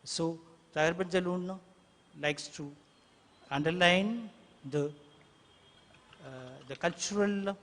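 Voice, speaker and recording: A man whose first language is Tamil, a translator speaking on a panel in English, halting with many fillers and restarts. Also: high voice, fairly bright tone. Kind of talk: hesitant panel remarks. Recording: long hall reverb, faint ambient noise.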